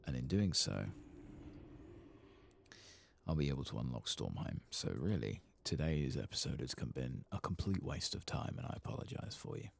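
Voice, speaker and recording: Very deep, soft-spoken man with gravelly creak. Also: big pitch swings, moderate pace. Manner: hesitant, muttering to himself as he thinks aloud. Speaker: host of a video game playthrough channel. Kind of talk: soft-spoken ASMR game commentary with whispering.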